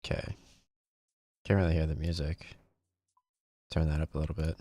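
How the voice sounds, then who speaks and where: A man's voice: extremely low pitch, flat delivery, moderate pace, gravelly, dark tone, slightly rough, no room sound. A man hosting a gaming video, giving casual gameplay commentary and thinking aloud in a rambling way.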